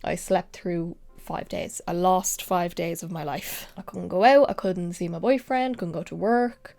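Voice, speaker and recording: A young woman vlogger chatting through a makeup tutorial, a little nasal and sniffly from a lingering cold, drifting into soft whispers now and then. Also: fairly low pitch, unhurried, gravelly, faint music behind. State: congested, recovering from a cold. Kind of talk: casual makeup tutorial chat.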